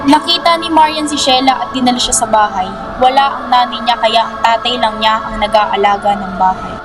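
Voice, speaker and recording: A woman's voice: fairly high pitch, a little fast, music in the background. A woman giving scripted narration for a radio drama.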